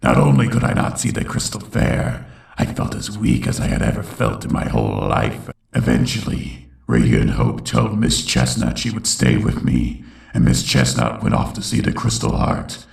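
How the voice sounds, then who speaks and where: Guy doing deep gravelly unicorn villain, broody dramatic narration of his past, swings around a lot, roomy sound. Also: big pitch swings, moderate pace, a little mellow, distant mic, exaggerated voice. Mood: somber, brooding. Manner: solemn, dramatic storytelling. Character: brooding adult male unicorn villain recalling his tormented youth. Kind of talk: scripted first-person dramatic narration.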